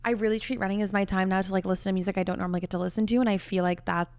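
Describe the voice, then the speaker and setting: A young woman co-hosting a podcast in casual chat. She talks very quickly at a middling pitch, in a chatty way, with "like" scattered through her sentences. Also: fairly even intonation, a little mellow, clear, resonant tone. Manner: chatty, peppers speech with "like". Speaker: podcast co-host.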